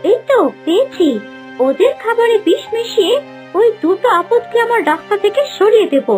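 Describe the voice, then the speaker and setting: Woman as a shrill, very high young villainess. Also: animated, normal speed, darkish tone, soft background music. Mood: scheming, menacing. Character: scheming young female impostor, sly, vengeful, arrogant villain. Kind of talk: cartoon villain voice acting.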